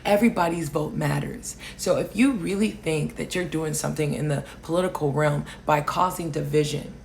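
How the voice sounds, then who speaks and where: A woman from Alaska, raised in Hawaii, hosting political commentary, talking loudly and emphatically and answering viewer comments. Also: low-pitched, normal speed, gravelly, slight background noise. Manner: loud, emphatic, rising to near shouting on key points.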